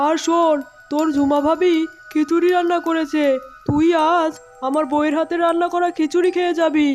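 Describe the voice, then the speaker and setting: A woman's voice: very high voice, little pitch movement, fairly quick, darkish tone, faint music behind. A woman voicing a friendly, hospitable married male fox in a cartoon, speaking in a brotherly way. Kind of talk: cartoon voice acting.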